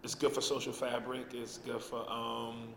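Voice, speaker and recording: A man talks reflectively in an interview clip, pausing often on fillers. His voice echoes through a large hall with a long reverb tail, and clear background noise sits under it. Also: medium pitch, monotone. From Baltimore, USA. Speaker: author.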